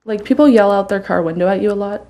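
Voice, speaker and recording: A woman's voice: mid-range voice, quick pace, mellow. A woman in her twenties, interviewed for a news story, speaking casually and matter-of-factly. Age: 20s.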